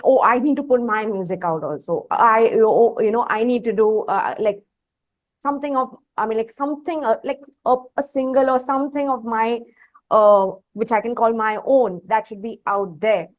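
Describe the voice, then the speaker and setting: A woman with an Indian accent interviewing a guest, putting long conversational questions together hesitantly in fragments. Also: on the high side.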